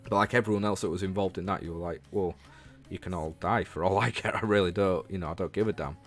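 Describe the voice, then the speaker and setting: Low-pitched Northern English man, chatting casually. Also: fairly even intonation, fast, soft background music. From Leeds, England. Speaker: livestreamer and show host. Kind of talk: casual livestream chat about games, replying to viewers.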